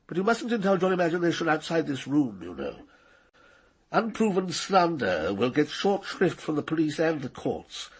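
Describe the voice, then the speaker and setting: Casting: cold-blooded patrician villain, mature, gravelly and husky yet fairly high-pitched, condescending, radio drama. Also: male voice, big pitch swings, moderate pace, full character voice. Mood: cold, menacing. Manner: condescending, precise, coolly menacing. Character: arrogant, cold-blooded male scientist knight, middle-aged or older.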